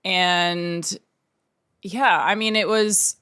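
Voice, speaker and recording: A woman's voice: lowish voice, fairly even intonation, extremely slow, sharp tone, clear, resonant tone, no room sound, clean studio sound. A woman in her thirties from Oregon, telling family stories in a casual interview, rambling with lots of fillers. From Oregon, United States. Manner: rambling, filler-heavy storytelling. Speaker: painter, interview guest.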